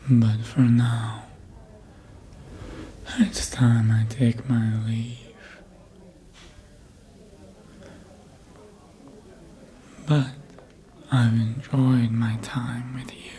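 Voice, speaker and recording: Casting: performer to play a calculating, seductive vampire mentor, whispered ASMR roleplay, very unhurried and intimate delivery. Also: mid-range voice, level tone, mellow. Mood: intimate farewell. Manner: slow, deliberate, intimate whispering.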